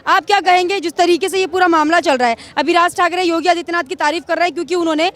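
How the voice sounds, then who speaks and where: A woman's voice: very high-pitched, steady tone, fast talker, fairly bright tone. A woman reporter doing vox pop interviews, putting pointed, leading questions to people. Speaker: street reporter.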